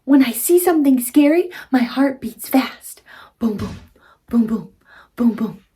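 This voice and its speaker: Lady in her 30s doing a kids read-aloud, highish voice, really slow, talks to the kid like a teacher. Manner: expressive, simple teacherly phrasing addressed to small children. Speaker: read-aloud host for young children. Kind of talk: children's picture book read-aloud with questions to the child.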